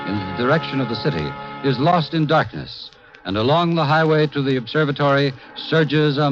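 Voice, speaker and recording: Clear music runs beneath a male actor as a solemn narrator on a dull vintage radio recording. His delivery is measured and portentous, with dramatic movement in pitch, in fifties broadcast style. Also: lightly acted. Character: solemn male narrator describing impending doom. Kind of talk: 1950s radio scripted narration.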